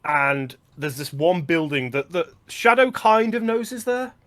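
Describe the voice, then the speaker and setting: A man's voice: on the high side, sing-song, measured pace, a bit bright. Easygoing man discussing superhero films. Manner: easygoing, agreeable, with filler words. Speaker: film podcast co-host. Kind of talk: casual film discussion.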